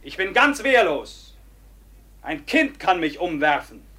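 An actor playing a proud, defiant robber captain in a classical radio drama, declaiming in a mocking, commanding manner. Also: male voice, high-pitched, big pitch swings, slow, sharp tone, full character voice. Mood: fierce, rousing. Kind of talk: classical stage-style radio drama acting.

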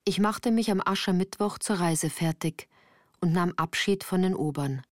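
An actress playing a sensitive woman recalling her youth, narrating a literary radio drama in a measured, reflective way. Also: on the low side, no room sound, studio quality, lightly acted.

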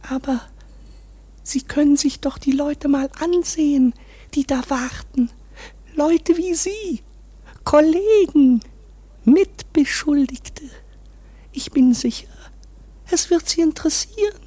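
Radio drama: embittered female court underling, high register, unhurried, theatrical, whispery at times. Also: mellow. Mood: impatient, coaxing. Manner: dramatic, slow, often dropping to a whisper. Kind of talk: German radio play acting.

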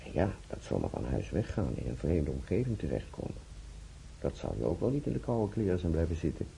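Very deep, kindly paternal doctor. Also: male voice, very animated, brisk, vocal fry, mellow, slight background noise, heavily acted. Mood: paternal, reassuring. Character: kindly, paternal hospital doctor, young man. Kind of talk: radio drama acting.

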